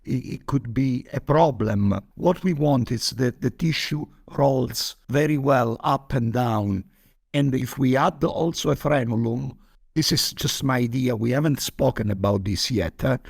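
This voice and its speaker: A man's voice: medium pitch, measured pace, vocal fry, husky, no room sound, clean studio sound. A man answering questions as a founder on a conference call, thinking aloud tentatively. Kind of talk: informal explanatory Q&A on a conference call.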